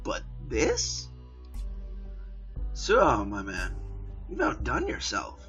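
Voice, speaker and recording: A man's voice: on the low side, huge pitch swings, drawn-out words, a bit bright, dull audio, faint music behind, heavily acted. A man voicing a shady male coworker, a cocky and teasing small-time criminal.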